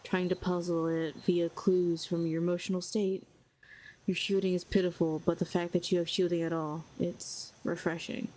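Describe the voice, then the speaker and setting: A woman's voice: fairly low pitch, level tone, moderate pace, dark tone, natural voice, rough recording. A woman playing a cold sardonic villain telepath. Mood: probing, sardonic. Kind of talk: audio roleplay acting.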